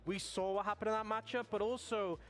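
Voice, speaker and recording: A man giving brisk draft analysis at an esports studio desk. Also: sits high, steady tone, crowd noise.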